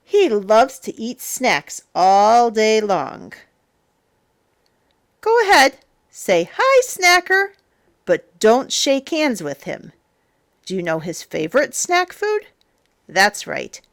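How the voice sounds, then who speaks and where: Woman reading slowly and very animatedly to children. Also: mid-range voice, huge pitch swings. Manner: theatrical, playful, emphatic delivery with drawn-out words. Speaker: storyteller, teacher. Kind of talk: children's picture book read-aloud.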